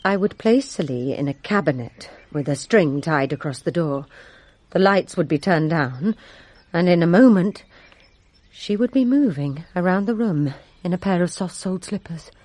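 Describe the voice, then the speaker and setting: A woman's voice: lowish voice, expressive, moderate pace, darkish tone. A woman playing a servant giving formal, deferential testimony in a radio drama, composed yet resentful of a rival. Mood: measured recounting. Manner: formal, deferential, precise storytelling.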